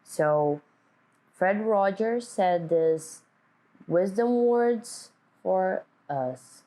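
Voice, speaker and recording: A woman teacher reading a picture book aloud to children, speaking deliberately and putting simple questions to them. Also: medium pitch, animated, warm tone. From Brazil.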